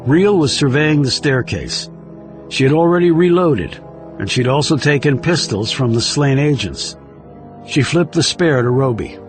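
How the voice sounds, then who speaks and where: Man, audiobook narrator, scripted suspense storytelling, mid-pitched voice, music behind. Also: animated, moderate pace. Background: music under the reading.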